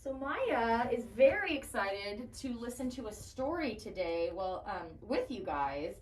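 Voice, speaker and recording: A woman's voice: on the high side, very animated, a little slow. A woman giving an educational tour of an animal shelter for children, explaining things simply and enthusiastically. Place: indoor animal shelter rooms. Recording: distant mic, faint background noise.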